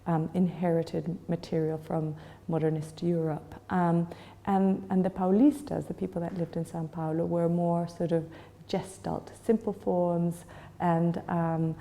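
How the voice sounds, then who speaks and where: A woman's voice: on the low side, little pitch movement, a little slow, creaky, mellow, long reverb tail. A woman in her forties from Chile, answering interview questions thoughtfully, with many fillers and restarts. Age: 40s. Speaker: gallery owner.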